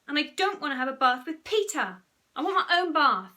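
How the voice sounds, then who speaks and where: A woman voicing a horrid, bossy, scheming older brother in a children's story, loudly demanding and complaining. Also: a little high, expressive, measured pace, pitched above the performer's own voice. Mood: grumpy, demanding. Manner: demanding, loud, screechy complaints.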